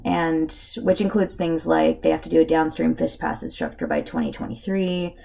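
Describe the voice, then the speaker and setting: A woman with a hoarse voice from a cold gives a casual narrated slideshow presentation, with lots of filler words. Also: deep voice, dark tone. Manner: casual, with many filler ums. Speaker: student presenter.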